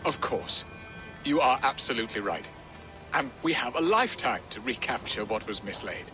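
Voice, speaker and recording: A man's voice: medium pitch, expressive, normal speed, over music, lightly acted. A man playing a sentimental, genial middle-aged nobleman in a period game, speaking in a courtly, effusive way. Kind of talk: dramatic period game acting.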